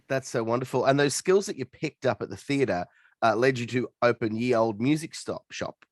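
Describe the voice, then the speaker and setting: A man's voice: mid-range voice, expressive, normal speed, no room sound, clean studio sound. A man hosting a conversational interview, putting questions to a guest and adding brief acknowledgements. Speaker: interviewer, podcast host.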